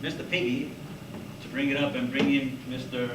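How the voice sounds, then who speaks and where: Man, halting informal presenter, student puppet show, distant mic, background noise. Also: medium pitch, flat delivery. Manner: halting, loses his thread. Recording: echoey room, distant mic, noisy.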